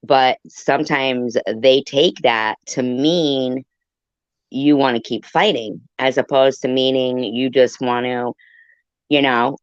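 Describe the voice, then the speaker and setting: A woman chatting casually in an agreeable, supportive way, with a hesitant, halting delivery. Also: rumbling low, fairly even intonation, smooth, no room sound, muffled recording.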